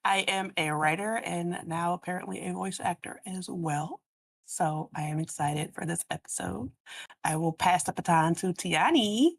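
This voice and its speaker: A woman's voice: lowish voice, moderate pace, gravelly, slight background noise. A woman speaking casually as a guest on a panel, introducing herself. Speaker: writer and voice actor, panel guest. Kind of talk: casual self-introduction and chat.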